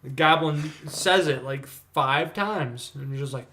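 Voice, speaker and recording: A man's voice: a little high, measured pace, pushed and bright, slight background noise. A man co-hosting a casual horror movie podcast, rambling with lots of fillers. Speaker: podcast co-host. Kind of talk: casual chat.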